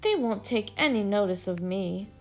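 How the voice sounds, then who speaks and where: A woman's voice: medium pitch, lively intonation, moderate pace, close to an everyday voice. A woman voicing a small fretful lizard who pleads in a tearful, whiny way. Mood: pleading.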